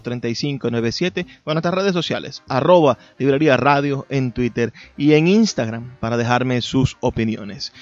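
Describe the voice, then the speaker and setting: A man's voice: mid-range voice, dull audio. A man hosting a radio programme, partly reading a prepared text aloud and addressing listeners. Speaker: radio host. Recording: band-limited radio sound with music behind. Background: music.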